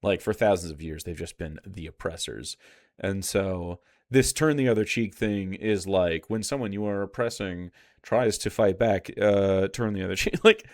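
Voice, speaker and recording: A man's voice: deep voice, moderate pace, darkish tone. Blunt, argumentative man doing casual commentary. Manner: blunt, swearing, sarcastic. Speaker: streamer reacting to a video.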